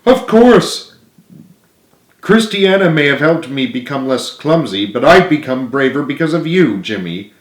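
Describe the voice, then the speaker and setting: A man acts out an earnest, loyal man from a storybook. He speaks with emphatic, pleading sincerity at a medium pitch in a room with clear echo. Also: animated, a little slow, lightly acted. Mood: encouraging. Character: earnest, remorseful, encouraging man, loyal friend. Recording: roomy, echoing room sound.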